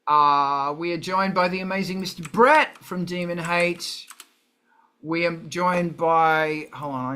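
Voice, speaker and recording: Bright, high-voiced man hosting. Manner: sweary, jokey, rambling. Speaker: show host. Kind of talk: casual livestream chat.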